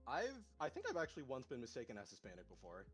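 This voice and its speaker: A man's voice: mid-range voice, very fast. A man with no accent, talking casually over a headset mic, dry and joking, telling stories with energy. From North America. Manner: dry, joking, animated storyteller. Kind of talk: casual chat. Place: parents' house. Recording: headset mic with faint music underneath.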